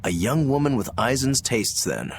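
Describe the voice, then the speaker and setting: A cocky male fighter in a game cutscene, casual and nosy. His medium-pitched voice moves around a lot as he teases. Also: big pitch swings, normal speed, vocal fry, slight background noise. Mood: nosy, teasing. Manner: casual, teasing, insinuating. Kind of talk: English video game voice acting.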